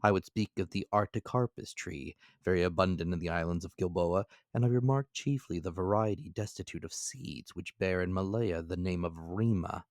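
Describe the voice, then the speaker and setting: A man reading classic adventure fiction as a dramatic audiobook narrator, in a measured, deliberate manner. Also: low-pitched, darkish tone, dry recording, studio quality.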